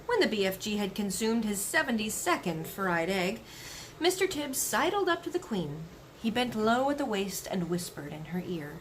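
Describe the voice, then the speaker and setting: A woman giving an expressive read-aloud of a children's book. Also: mid-range voice, moderate pace, a little hiss or hum, poor audio. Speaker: story reader.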